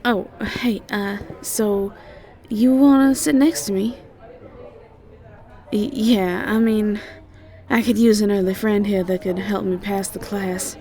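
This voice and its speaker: Slow-talking, sweet Southern ditzy college girl, acted by a woman. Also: medium pitch, steady tone, warm tone. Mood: sweet, eager. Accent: Southern American. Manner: hesitant, stammering, airy chatter. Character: sweet, flustered, ditzy young siren student. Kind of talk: roleplay audio drama acting.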